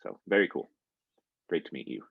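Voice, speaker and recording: A man who is a coding instructor, leading an online class and calling on students, friendly and halting with lots of ums and restarts. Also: sits low, big pitch swings, creaky, husky, no room sound. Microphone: video call. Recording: muffled video call audio.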